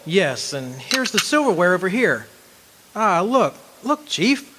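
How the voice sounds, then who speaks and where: A high, lively male voice acted by a man plays a deferential police sidekick in a radio play. He sounds eager to report to his chief, in a reverberant hall. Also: measured pace, exaggerated voice. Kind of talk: amateur old-time radio acting. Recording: reverberant hall with faint noise.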